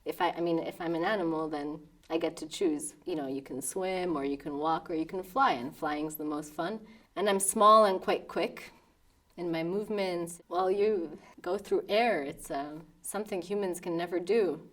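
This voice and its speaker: American woman in her thirties, slightly low voice, thoughtful interview talk, soft laughs. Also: fairly even intonation, moderate pace, far from the mic. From New York, United States. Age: 30s. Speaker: pianist. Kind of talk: documentary interview.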